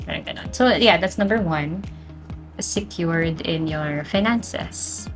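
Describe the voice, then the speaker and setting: A woman's voice: on the low side, slow, faint music behind. A woman with a Filipino accent in casual, reflective talk as a podcast co-host, mixing English with Tagalog. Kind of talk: casual reflective talk about personal experience.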